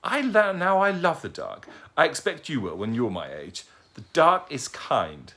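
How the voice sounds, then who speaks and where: A man's voice: big pitch swings, measured pace, pushed and bright, full character voice. A man putting on a prim, fussy old lady. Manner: prim, scolding, high and quavering. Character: fussy, kindly old lady with poor eyes.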